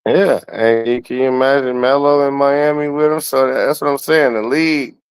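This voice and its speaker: A man's voice: mid-range voice, fairly even intonation, moderate pace, clear, resonant tone. A man giving his opinions on sports in casual, slangy, profane talk, often repeating words to make his point. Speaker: guest commentator.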